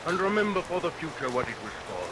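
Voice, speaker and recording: An actor playing a murderous, falsely sweet barber, unctuous and theatrical, in vintage radio melodrama style. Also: male voice, a little high, very animated, fast, noisy background. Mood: angry, threatening. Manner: unctuous, mock-polite, theatrical. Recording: vintage radio recording under loud rain.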